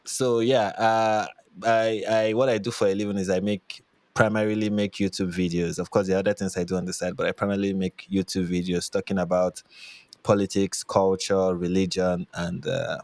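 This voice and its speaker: This is a Nigerian man with a fairly low voice, recorded dry with little room sound. He talks casually as a podcast guest, explaining his opinions. He is emphatic and often repeats a phrase to drive it home. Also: flat delivery, moderate pace, a little mellow, no echo. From Lagos, Nigeria. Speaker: YouTube commentator, podcast guest.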